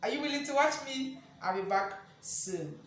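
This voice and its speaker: A woman delivers a motivational teaching talk in a high, loud, projecting voice. She is emphatic, repeats key phrases and checks in with rhetorical questions. The recording is very reverberant and picked up from a distance. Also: lively intonation, moderate pace. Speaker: motivational speaker and presenter. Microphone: distant camera mic, no microphone on speaker. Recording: echoey room, distant mic, faint noise.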